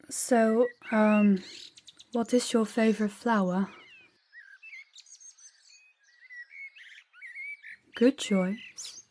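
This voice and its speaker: A woman playing a proud, guarded young princess in an intimate audio roleplay, speaking in a measured, formal, slightly awkward way to the listener. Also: mid-range voice, a little mellow, slight background noise, natural voice. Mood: polite, awkward.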